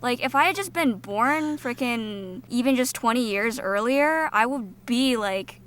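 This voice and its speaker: A woman's voice: a little high, lively intonation, measured pace, fairly bright tone, a little hiss or hum. A woman co-hosting a podcast, chatting casually with blunt, slangy, exasperated opinions. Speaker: podcast co-host, voice actor.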